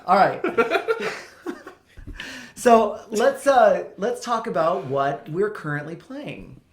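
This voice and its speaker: A man's voice: high-pitched, expressive, slow pace. Podcast host guy, chatty, laughs a lot, keeps restarting. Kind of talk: casual chat.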